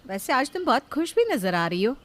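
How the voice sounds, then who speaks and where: A woman voicing a caring, anxious elder sister-in-law in a moral story, gentle and pleading. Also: sits high, very animated, a little fast, voice pushed up. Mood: curious. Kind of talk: Hindi moral story character dialogue.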